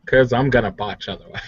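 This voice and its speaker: A man's voice: mid-range voice, a bit bright, husky, no room sound. A man bantering casually and wryly as a player in a tabletop roleplaying game over online voice chat. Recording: dull, dry lo-fi voice chat.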